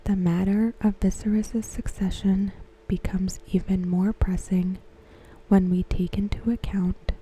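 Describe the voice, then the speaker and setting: A woman whispers softly and breathily in an ASMR style, very close to the mic. Her delivery is slow and gentle, with a very dark, relaxed tone as she reads from a script. There is faint noise behind her. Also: medium pitch, rough recording. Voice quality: soft, breathy, mostly whispered. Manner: gentle, measured, deliberate phrasing. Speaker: ASMR host. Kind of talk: ASMR, softly reading a scripted episode synopsis. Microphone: close microphone.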